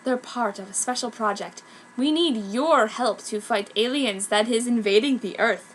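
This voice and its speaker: A woman voicing an earnest, solemn young man who speaks deliberately in an amateur story reading. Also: on the high side, a little hiss or hum, natural voice, low-quality recording. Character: earnest young man recruiting a magical girl.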